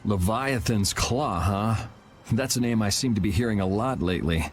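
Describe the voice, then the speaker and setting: A man's voice: lowish voice, normal speed, a little hiss or hum, close to an everyday voice. A man voicing a cool, wry, laid-back young adventurer in a video game dub. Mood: curious.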